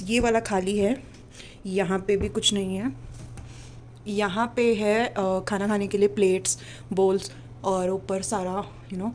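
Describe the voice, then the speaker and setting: A woman's voice: medium pitch, a little mellow, a little hiss or hum. A woman in her twenties giving a casual apartment tour, chatting and pointing things out while walking with a handheld phone camera. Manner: chatty, mixing in English words, pointing things out. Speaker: vlogger. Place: small basement apartment indoors. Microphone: phone camera held while walking.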